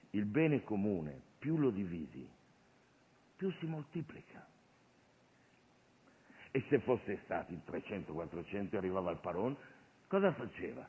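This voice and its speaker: A man playing an irreverent, streetwise Jesus, commanding and jocular in a colloquial comic stage monologue. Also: a little high. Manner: commanding, colloquial, mock-preaching. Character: irreverent streetwise Jesus, bossy, jocular master miracle-worker. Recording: phone-like radio broadcast of stage show.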